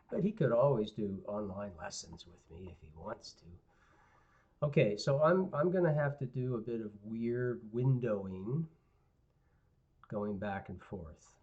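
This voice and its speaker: A male guitar teacher giving a live online lesson, hoarse and raspy, clearing his throat often, talking to viewers in a relaxed, rambling way. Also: on the low side, takes it slowly, long pauses. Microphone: computer mic on a live stream. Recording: dull lo-fi livestream audio.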